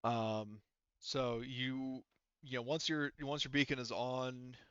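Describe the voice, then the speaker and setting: Bright, clear-voiced man running a game. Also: mid-range voice, flat delivery, no room sound, lo-fi sound. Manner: halting, informal, thinking aloud. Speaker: game master. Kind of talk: improvised tabletop game narration.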